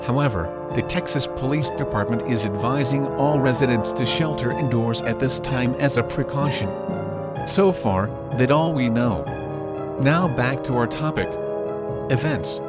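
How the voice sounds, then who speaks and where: A man's voice: medium pitch, normal speed. A man reading a scripted news bulletin as a broadcaster, with informal, casual asides. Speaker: news broadcaster in an animated emergency-alert story. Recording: music behind the voice. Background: prominent music.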